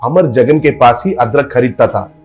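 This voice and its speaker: A man's voice: mid-range voice, moderate pace, a little mellow, lo-fi sound, faint music behind. A man narrating a scripted moral story for a cartoon.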